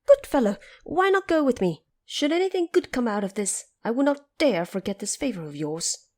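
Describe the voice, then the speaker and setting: A woman's voice: a little high, big pitch swings, moderate pace, voice pushed up. A woman voicing an eager male monkey king in an audio drama, speaking in earnest, formally polite exclamations. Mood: eager pleading.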